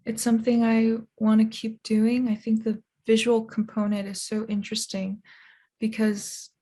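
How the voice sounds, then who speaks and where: A woman author and translator answering interview questions in a thoughtful, measured way. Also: a little high, dark tone.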